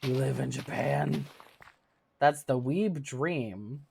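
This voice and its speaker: A thirty-something streamer talks loosely and warmly to chat between moves in a game. The speech is full of fillers and easy laughs, at a middling pitch. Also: slow, vocal fry, slight background noise. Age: 30s. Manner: chatty, laughs often, many fillers. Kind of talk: casual live-stream chat with viewers.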